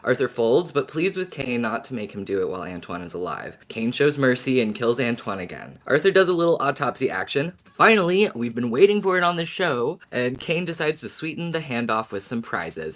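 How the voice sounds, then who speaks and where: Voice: mid-range voice, a bit bright. A podcast co-host talking in a casual, conversational style with emphatic phrasing.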